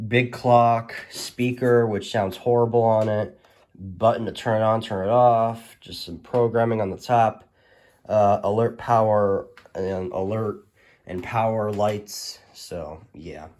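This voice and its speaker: Low-voiced man, rambling casual showcase. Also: darkish tone. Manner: rambling, hesitant, informal. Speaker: collector presenting a radio collection. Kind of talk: casual product showcase narration.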